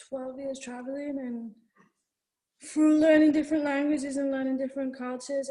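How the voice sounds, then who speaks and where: Woman raised in the UK, mellow and even, reflective call intro. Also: fairly high pitch, poor audio. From United Kingdom. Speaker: course participant. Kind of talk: self-introduction on a group video call. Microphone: video call.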